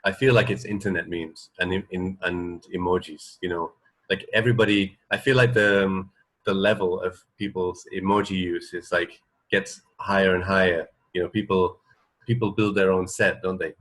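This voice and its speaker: A man with a low-pitched voice chatting casually as a radio co-host. He feels his way through sentences, repeating words and checking in with small questions. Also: steady tone, normal speed, fairly bright tone. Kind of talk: casual chat.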